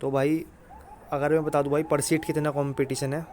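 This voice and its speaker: Higher-pitched man, informal coach. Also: little pitch movement, moderate pace, darkish tone, a little hiss or hum. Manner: repetitive, emphatic reassurance with frequent filler address. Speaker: exam coaching YouTuber. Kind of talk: informal exam guidance talk.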